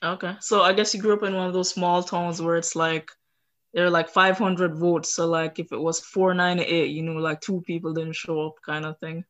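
A woman's voice: on the low side, flat delivery, moderate pace, fairly bright tone, dull audio. A woman hosting an interview, asking questions in a casual, loose way. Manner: casual, with many fillers and restarts. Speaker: interview host.

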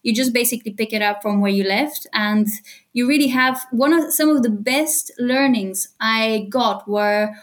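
A woman in her thirties, originally from Bulgaria, chatting lively as a podcast guest and laughing often. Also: fairly high pitch, normal speed. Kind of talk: casual interview chat.

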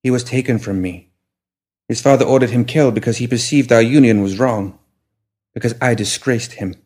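A man's voice: mid-range voice, moderate pace, a little mellow, husky, lightly acted. A man voicing a guarded young woman, bitter and grieving, in a storybook reading.

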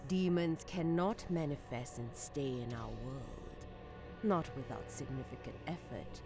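An actress playing a calm, cryptic occult seer in an audio drama, soft and measured. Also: female voice, sits low, over music. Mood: coaxing.

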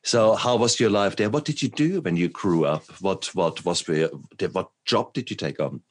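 Deep-voiced enthusiastic male podcast host. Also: animated, normal speed. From Germany. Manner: enthusiastic, laughs often. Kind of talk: conversational interview.

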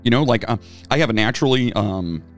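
A man's voice: medium pitch, animated, fast, soft background music. A man co-hosting a casual podcast chat, a former band singer who rambles with plenty of fillers and restarts. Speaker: podcast co-host.

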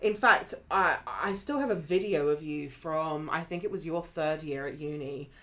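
A woman's voice: deep voice, moderate pace, bright, forceful tone. A woman co-hosting a podcast from home, bantering playfully and teasing her friend. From England. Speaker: podcast co-host. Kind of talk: casual chat. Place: home flat.

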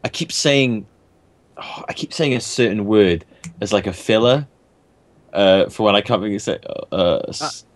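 A man with a very low voice that swings widely in pitch, chatting loosely on a group podcast. He rambles and restarts often, and the sound is close and dry. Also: expressive, moderate pace, no room sound. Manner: rambling, many restarts and laughs. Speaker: podcast co-host. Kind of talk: casual group chat.

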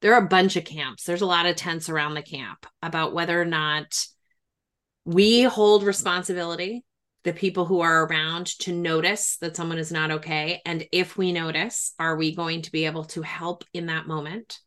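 Lowish-voiced woman, earnest podcast interviewer. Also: fairly even intonation, normal speed, a bit bright. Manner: earnest, emphatic, explanatory. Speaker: podcast interviewer, grief professional. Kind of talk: reflective interview talk.

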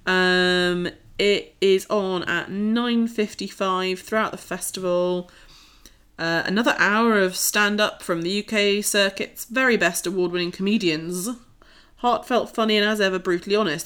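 A woman's voice: medium pitch, fairly even intonation, normal speed, a bit bright, smooth. A woman co-hosting a podcast, chatting informally and reading out listings in a casual way. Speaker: podcast co-host.

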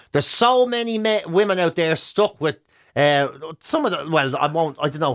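A man's voice: a little high, fairly bright tone, no echo, clean studio sound. An Irish man co-hosting a radio show with comic, casual banter, mocking and rambling in colourful slang.

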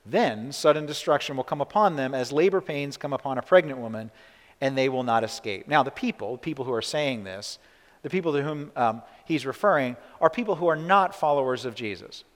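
A man's voice: medium pitch, lively intonation, a little fast, big-room sound. A man preaching a sermon as pastor, talking conversationally with many "right?" asides and restarts.

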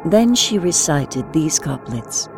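A woman playing a wealthy lady who recounts her tale in measured, ornate, archaic English in an audio drama. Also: deep voice, big pitch swings, music in the background, full character voice, low-quality recording. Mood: solemn storytelling.

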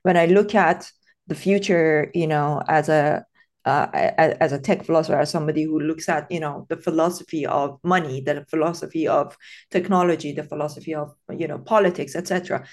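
A woman's voice: deep voice, steady tone, a little fast. A woman from Iran hosting a casual podcast interview, thoughtful and hesitant, with many fillers and restarts.

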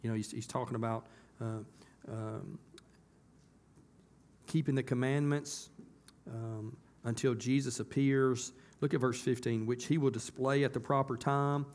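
A hoarse man, a pastor talking to his congregation in a casual, folksy way that turns emphatic. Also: medium pitch, fairly even intonation, a little slow, darkish tone. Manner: folksy, conversational, rising to emphatic. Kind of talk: casual church announcements and sermon. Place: church sanctuary.

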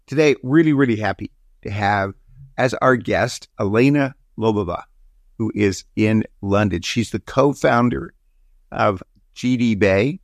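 Male podcast host, gravelly, halting delivery with restarts, dry close sound. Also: fairly low pitch, no echo. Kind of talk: interview podcast.

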